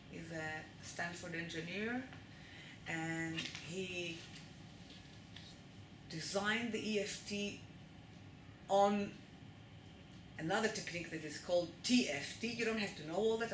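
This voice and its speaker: A woman's voice: medium pitch, noisy background. Israeli woman lecturing in an echoey room. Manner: checks in with the audience often. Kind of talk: live lecture to an audience. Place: lecture hall with live audience.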